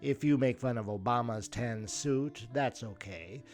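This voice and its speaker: Male podcast host, mid-pitched, measured and wry scripted commentary, music underneath. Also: a little mellow. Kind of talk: scripted commentary over audio clips. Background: instrumental music.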